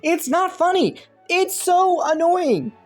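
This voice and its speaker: A woman's voice: very high-pitched, animated, slow, faint music behind, exaggerated voice. A woman playing a young scheming prince in a romantic audio roleplay, courteous and formal, with flustered stammers. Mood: playfully indignant.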